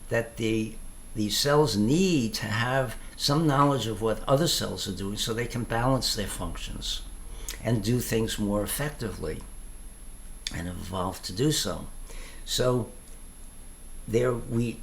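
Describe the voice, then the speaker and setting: A man thinking aloud in an informal explanatory monologue, halting and reflective, often pausing mid-phrase. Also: mid-range voice, slight background noise. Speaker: tai chi teacher.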